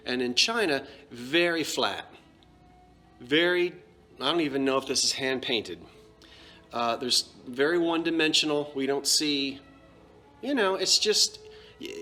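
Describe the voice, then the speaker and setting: Man, New Jersey accent, conversational, corrects himself as he goes, bright tone. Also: mid-range voice. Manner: chatty, self-correcting, conversational. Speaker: antiques seller. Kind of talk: casual show-and-tell vlog.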